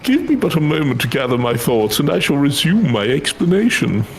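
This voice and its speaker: A man voicing an excitable, grandiose scholar in a fantasy game, with formal, theatrical phrasing. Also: a little high, fairly quick, rough recording. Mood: excited, grandiose.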